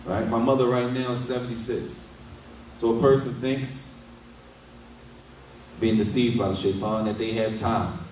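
A man's voice: mid-range voice, steady tone, unhurried, pauses often, darkish tone, a little hiss or hum. A middle-aged man giving a casual Islamic lecture, asking rhetorical questions and often adding "right?". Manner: conversational, asks rhetorical questions with frequent "right?". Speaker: imam giving a lesson. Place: small indoor study room. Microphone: desk mic on a stand. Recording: echoey room, mic at a distance.

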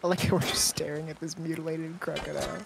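A man's voice: fairly high pitch, level tone, fast talker, vocal fry. A young man playing a co-op game with a friend, teasing and laughing a lot. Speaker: gaming partner. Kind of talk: casual co-op gaming chat. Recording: game sound and noise behind voice.

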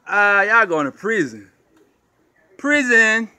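A man giving casual, excited commentary outdoors, slangy, taunting and often repeating himself. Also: high-pitched, takes it slowly, clean tone, no echo. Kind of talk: casual excited street commentary while filming. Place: outdoors at night.

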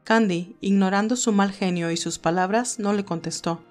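A woman narrates a romance story from a script. Her voice sits at a medium pitch. Faint music plays behind her. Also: normal speed.

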